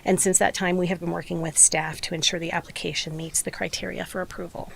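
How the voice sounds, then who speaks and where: A woman with a slightly hoarse voice giving a prepared, formal presentation as a development applicant at a public meeting. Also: fairly low pitch, level tone, fast talker, background noise.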